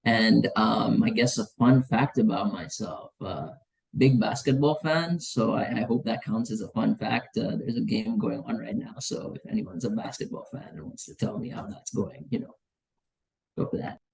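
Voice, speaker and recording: A man's voice: fairly low pitch, normal speed, gravelly, darkish tone, poor audio. A man in his twenties from Kenya, chatting informally as a student on a video call. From Nairobi, Kenya. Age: 20s. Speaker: graduate student panelist. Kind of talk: casual, informal chat. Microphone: video call microphone.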